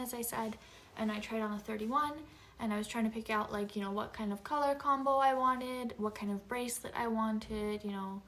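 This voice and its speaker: Chatty woman with a raised voice. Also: a little high. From Japan. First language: Japanese. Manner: chatty, conversational, frequent filler words. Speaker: vlogger. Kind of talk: casual unboxing chat.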